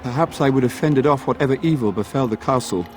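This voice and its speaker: A man's voice: mid-range voice, fast talker, lightly acted. A male actor playing a solemn, courteous knight who defends a village, speaking formally in a fantasy game. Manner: formal, archaic phrasing. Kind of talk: fantasy game dialogue acting.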